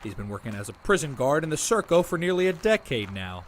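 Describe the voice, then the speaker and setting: An actor playing a courteous small-town sheriff in an audio drama, formal, authoritative and protective in manner. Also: male voice, a little high, sing-song, fast, a little hiss or hum. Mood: friendly, earnest.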